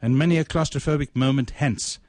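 A man reading his own literary short story aloud in a deliberate, measured, ornate manner. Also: mid-range voice, a little mellow, dry recording, studio quality.

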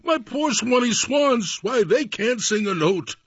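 A man voicing a small, cross old male forest creature who scolds in croaky, sing-song rhymes for a storybook reading. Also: very high voice, expressive, a little slow, dry recording, lo-fi sound, pitched above the performer's own voice, heavily acted. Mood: pleading.

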